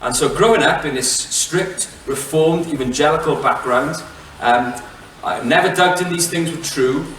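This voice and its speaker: A Welsh man in middle age talks to an audience in a relaxed, joking way, his voice a little high for a man. He stops and starts with many fillers. The sound is roomy and heard from a distance, with a faint hum behind him. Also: a little slow. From Wales. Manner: chatty, self-mocking, many fillers and restarts. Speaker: head teacher giving a talk. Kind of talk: relaxed public talk with jokes to the audience. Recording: echoey hall, mic from far off.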